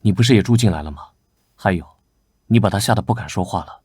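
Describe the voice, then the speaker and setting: An actor playing a composed, dutiful knight officer in an animated drama, measured and dryly questioning. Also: male voice, fairly low pitch, husky, no echo, clean studio sound. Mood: dry, wary.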